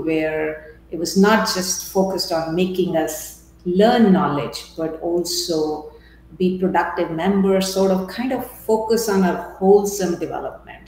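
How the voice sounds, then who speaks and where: Echoey middle-aged Indian-born woman, low-pitched. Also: a little mellow. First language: Punjabi. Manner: measured, thoughtful, with fillers and restarts. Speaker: guest. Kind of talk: interview conversation. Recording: very echoey room with faint noise.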